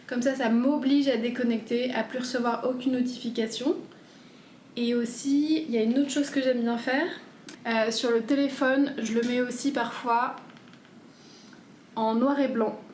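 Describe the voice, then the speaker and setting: A woman in her thirties chatting casually at home as she answers viewer questions, gentle and hesitant. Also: on the high side, echoey room, slight background noise. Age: 30s. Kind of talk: casual FAQ chat.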